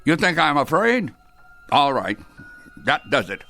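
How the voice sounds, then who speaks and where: Prickly, gravelly old grandfather. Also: on the high side, sing-song, measured pace, sharp tone, soft background music, heavily acted. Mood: indignant. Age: older. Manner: defensive, sardonic, folksy. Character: stubborn, prickly elderly grandfather, a retired clockmaker. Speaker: actor in a radio drama. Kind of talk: radio drama acting.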